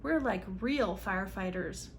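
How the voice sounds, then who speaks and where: A woman voicing a bossy, alert young schoolgirl adventurer in a storybook, brisk and exclamatory. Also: medium pitch, lively intonation, a little hiss or hum, voice pushed down. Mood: excited.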